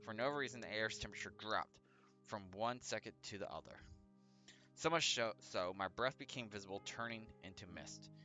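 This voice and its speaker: Bright-voiced man reading a game aloud. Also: fairly low pitch, brisk. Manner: stumbles over read text and corrects himself. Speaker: let's play host. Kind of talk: reading a visual novel aloud with casual gaming commentary. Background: background music.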